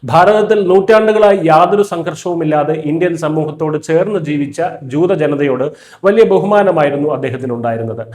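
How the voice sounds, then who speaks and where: A man's voice: a little high, darkish tone, echoey room. A man reading a scripted news voice-over in a firm, emphatic way. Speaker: news narrator.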